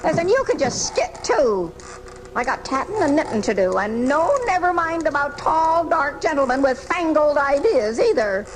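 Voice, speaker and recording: An actress playing a feisty, fretful frail old American spinster who scolds in folksy, indignant style in a live radio drama. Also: female voice, high voice, sing-song, moderate pace. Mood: indignant, scolding. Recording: noisy amateur off-air radio recording from 1984.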